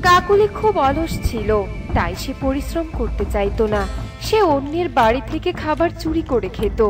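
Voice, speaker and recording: High-voiced woman narrating a children's story. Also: sing-song, normal speed, over music.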